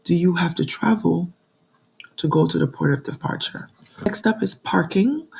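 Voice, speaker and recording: A woman in her forties hosts her own vlog, hoarse from a cold, with a gravelly, husky, mellow voice. She talks straight to her viewers in a chatty way, with plenty of ums. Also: fairly low pitch, poor audio. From New York. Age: 40s. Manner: chatty, addresses viewers directly, frequent ums. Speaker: budgeting channel host. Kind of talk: casual talking-to-camera vlog.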